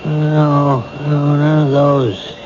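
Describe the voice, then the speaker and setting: Grieving widower, vague memory, hesitant, old radio drama. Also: male voice, on the high side, flat delivery, very slow. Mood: evasive, vague. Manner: hesitant, trailing off. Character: grieving forgetful widower, evasive. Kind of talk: 1970s radio drama acting. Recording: dull old radio broadcast with hiss.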